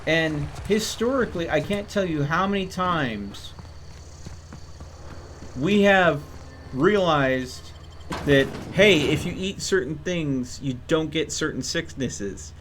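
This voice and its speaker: Higher-pitched man musing over a game. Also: slow, a bit bright, slight background noise. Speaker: game streamer. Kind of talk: casual live game commentary.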